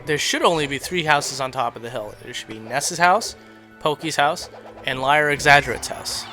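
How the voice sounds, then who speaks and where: High, bright-voiced man, chatty. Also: normal speed. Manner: chatty, self-deprecating, breaks into chuckles. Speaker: video host. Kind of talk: casual rambling game tour commentary. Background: background music.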